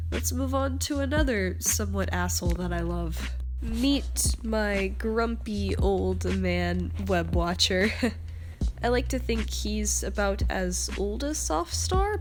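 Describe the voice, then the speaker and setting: Casual woman vlogger, stuffed up with a cold, mid-pitched, talks in loose giggly tangents. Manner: rambling and chatty, laughing often. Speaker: artist presenting her character designs. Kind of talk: casual vlog narration over drawings. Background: background music.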